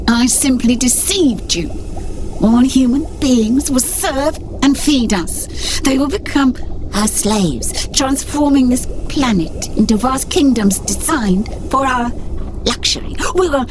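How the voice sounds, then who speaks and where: A woman voicing a sinister, imperious alien insect in an audiobook, with slow, grandiose, gloating delivery. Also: high-pitched, faint music behind, pitched above the performer's own voice, poor audio.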